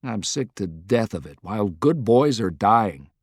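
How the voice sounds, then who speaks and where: A man's voice: lowish voice, animated, unhurried, dry recording, clean studio sound. A man voicing a plain-spoken, weary male American president with a frank, folksy manner, in an audiobook. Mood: fed up.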